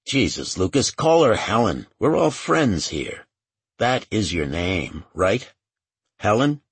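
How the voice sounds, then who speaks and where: A man plays a folksy male agent in a thriller audiobook. He is informal and placating, a touch exasperated, with a husky voice and lively intonation. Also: on the low side, takes it slowly, dry recording, pitched above the performer's own voice, full character voice. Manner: informal, reassuring, mildly exasperated.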